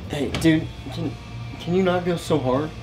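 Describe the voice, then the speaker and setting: A man's voice: a little high, normal speed, over music, rough recording. An eighteen-year-old man vlogging in his bedroom, talking in a goofy, boastful, rambling way. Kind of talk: comedy vlog. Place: bedroom with gaming setup.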